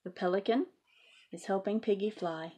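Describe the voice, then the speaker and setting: A woman's voice: mid-range voice, moderate pace, dark tone, slight background noise. A woman reading a picture book aloud to young children, speaking to them directly with questions and asides. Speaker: story reader for preschool children.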